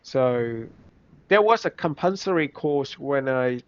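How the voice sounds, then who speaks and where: A young man from Vietnam with a Vietnamese accent, speaking English as a second language in a casual interview, halting, with many restarts. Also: medium pitch, lively intonation, no echo. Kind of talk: casual reflective chat. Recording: slightly dull, dry recording.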